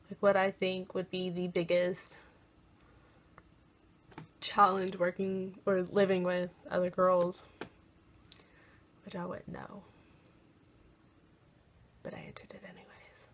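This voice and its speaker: A young American woman chatting casually in a vlog, often pausing as she tries to recall things. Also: on the low side, monotone. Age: young adult. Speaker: college program applicant vlogger.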